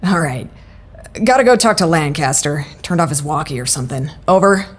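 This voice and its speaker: A woman's voice: low-pitched, animated, brisk, noisy background. Woman acting exasperated no-nonsense facility boss. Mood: brisk. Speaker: voice actor in a fiction podcast. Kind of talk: audio drama acting.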